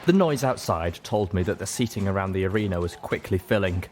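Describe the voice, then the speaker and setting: A man's voice: low-pitched, expressive, brisk, darkish tone, slight background noise. A man reading a scripted story aloud as dramatic audiobook narration. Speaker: audiobook reader.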